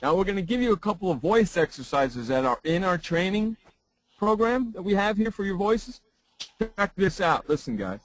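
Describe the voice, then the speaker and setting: Big loud guy teaching voice, kinda high, hammers his points, dry close sound. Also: sing-song, normal speed, no room sound, faint music behind, poor audio. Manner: loud, emphatic, repeats phrases for effect. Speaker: broadcast voice trainer. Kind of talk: casual chat turning into a spoken lesson.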